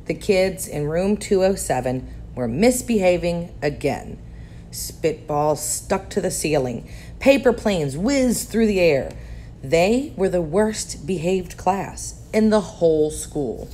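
A woman reads a children's picture book aloud, slow and unhurried. Her voice sits a little low but rises and falls in a lively, animated way. A faint hiss sits under her voice. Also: a faint crowd. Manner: expressive, animated storytelling. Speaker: storybook reader.

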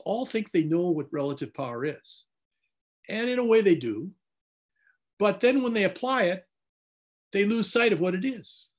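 An older man giving a deliberate academic answer on a video call from a home study, sounding a little tired and repeating words as he builds his points. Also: fairly high pitch. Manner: deliberate, repeats words, rises when emphatic. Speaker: guest lecturer answering questions.

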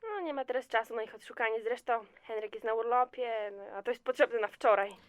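A woman's voice: a little high, brisk, no room sound, lightly acted. A woman playing a chatty, motherly office worker who soothes and coaxes with endearments in an audio drama. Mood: coaxing. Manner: soothing, coaxing, full of endearments. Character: chatty, motherly female office fixer, reassuring and gossipy. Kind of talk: amateur audio drama acting.

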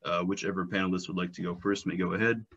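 A man with a low voice moderates an online panel, reading questions formally. The video call audio sounds dull and muffled. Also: steady tone, fast talker, a bit bright, husky.

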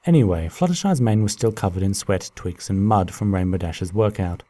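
A man narrating a scripted story in an expressive, dramatic way. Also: low voice, moderate pace.

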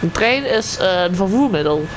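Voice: mid-range voice, lively intonation, normal speed. A gamer in casual roleplay, playing a helpful guide and patiently explaining things. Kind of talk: casual game roleplay chat. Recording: game and vehicle noise behind.

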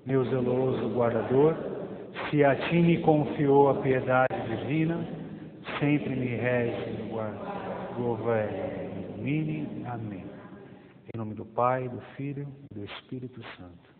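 A man in his thirties who leads a soft, quiet prayer and then gives a lively church formation talk from a lectern, recorded on a lapel mic. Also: medium pitch, slow, a crowd in the background. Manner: prays softly with eyes closed, then lectures with rising emphasis and tag questions. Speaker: lay formation speaker. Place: small parish hall with plain walls. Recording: reverberant hall, thin phone-like sound, faint hiss.

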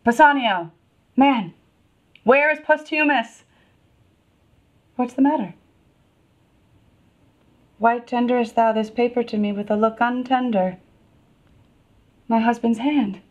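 A woman performs a betrayed young princess of classical tragedy, passionate and wounded. Her high voice moves slowly through each phrase, with intense, indignant theatrical emotion. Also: dull audio. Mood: anxious. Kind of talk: classical Shakespearean dramatic monologue.

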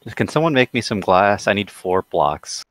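A man's voice: fairly low pitch, sing-song, normal speed, a bit bright, dry recording. A man chatting and teasing his friends while playing a game together over a headset mic. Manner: teasing, jokey. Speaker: gamer. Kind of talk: casual gaming chat.

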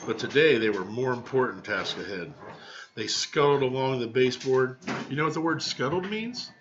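A man's voice: mid-range voice, animated, moderate pace, background noise. An American man in his thirties reading a storybook aloud to children as a teacher, with casual asides, on a lo-fi webcam. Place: small home room, indoors. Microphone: webcam at desk distance. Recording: dull lo-fi webcam audio.

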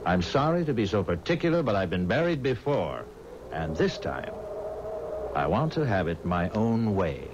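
An actor playing a mysterious, insistent stranger in a 1970s radio drama, speaking in terse, deliberate, imperious phrases. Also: male voice, fairly low pitch, big pitch swings, over loud music. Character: insistent, mysterious male stranger ordering his own coffin. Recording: dull, noisy old radio recording.

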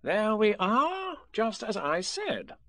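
High, bossy storybook rabbit. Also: male voice, sing-song, pushed and bright, dry recording, studio quality, exaggerated voice. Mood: bossy, overconfident. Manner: brisk, self-important, overconfident. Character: bossy, cocksure male rabbit leading his friends.

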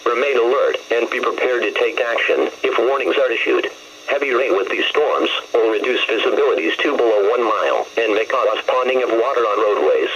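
A synthetic man's voice reading scripted weather bulletins in a measured, impersonal manner over a radio. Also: medium pitch. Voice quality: synthetic text-to-speech voice. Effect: radio broadcast. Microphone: camera recording a radio's speaker. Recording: muffled, noisy weather radio speaker.

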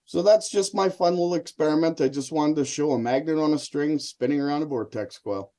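Middle aged guy showing his experiment on a video call, mellow dark voice. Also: a little high, fairly quick. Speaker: hobby experimenter, guest presenter. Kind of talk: casual live demonstration on a video call. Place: indoor room, video call.

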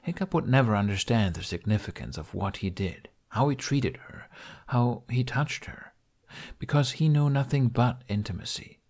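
A man reading a story as an audiobook narrator, in an expressive scripted style. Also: medium pitch, moderate pace.

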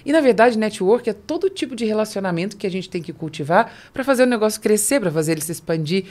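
Brazilian woman hosting, medium pitch. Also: expressive, fast, a little mellow. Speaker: podcast host. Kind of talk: interviewing, conversational. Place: indoor podcast studio. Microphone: close studio microphone on boom arm.

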